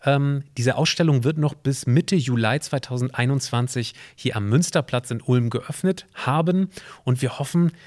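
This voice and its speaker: A man's voice: mid-range voice, normal speed, darkish tone. A man hosting a semi-scripted audio play, explaining things to a companion and to listeners. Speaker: podcast host and co-creator of an exhibition audio play.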